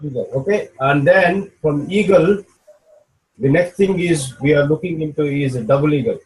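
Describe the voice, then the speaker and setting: A man with a somewhat raised voice walks a listener through steps on a video call. He is patient and steady, tagging each point with a quick "okay?" to make sure he is being followed. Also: fairly high pitch, low-quality recording. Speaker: business mentor. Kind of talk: video call coaching session.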